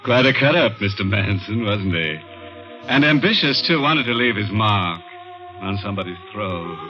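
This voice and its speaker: An actor playing a gleefully macabre, punning host of a 1950s radio horror show, sardonic and mock-genteel. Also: male voice, fairly low pitch, expressive, normal speed, close to an everyday voice. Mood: sardonic glee. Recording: muffled old radio recording, music behind.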